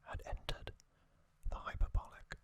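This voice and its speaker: A man whispering an ASMR recap as host, hushed and halting, in short, drawn-out phrases.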